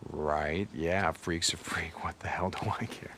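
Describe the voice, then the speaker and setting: A gruff, distrustful drifter in a survival game. His voice sits low, blunt and muttered with a short temper, under very loud outdoor noise and helicopter sounds. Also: fairly even intonation, unhurried. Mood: dismissive. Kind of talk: video game cutscene acting. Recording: very noisy outdoor ambience, helicopter, vehicles.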